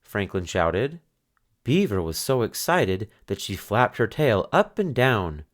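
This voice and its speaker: Deep, slow-reading male storyteller. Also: very animated. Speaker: narrator. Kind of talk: children's book read-aloud.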